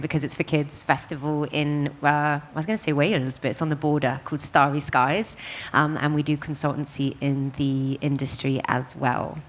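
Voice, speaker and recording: A woman with a notably low voice gives a casual, breezy introduction on a conference panel. She speaks with easy fillers. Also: fairly bright tone. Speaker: festival organiser and consultant.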